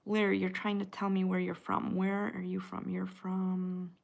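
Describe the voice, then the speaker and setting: A woman's voice: on the low side, completely flat delivery, moderate pace, vocal fry. Chatty Midwestern American woman livestreaming. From United States. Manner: chatty, addresses viewers by name, trails between comments. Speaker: livestream host reading and answering viewer chat. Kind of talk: casual live chat with viewers.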